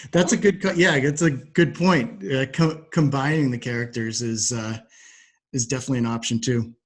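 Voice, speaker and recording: Thoughtful male podcast host, medium pitch. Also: slightly rough. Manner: halting, thoughtful, agreeable. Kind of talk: casual interview.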